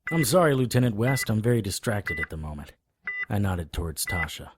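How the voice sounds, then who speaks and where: An actor playing a weary, lovestruck occult investigator who narrates his own case in a wry, measured way for an audio drama. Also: on the low side, very animated, noisy background. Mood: distracted, wry. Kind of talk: first-person noir audio drama narration and dialogue. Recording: music under the voice.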